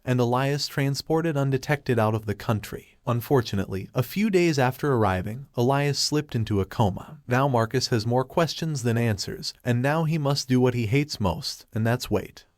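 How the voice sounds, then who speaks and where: A man narrating a scripted story in an even, measured way. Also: medium pitch, no room sound.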